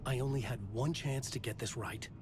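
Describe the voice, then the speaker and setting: A man playing a tormented, brooding horror writer in a video game, narrating gravely and deliberately with dramatic intensity. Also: medium pitch, fairly even intonation, background noise, close to an everyday voice. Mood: grave, urgent. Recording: loud music underneath. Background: music.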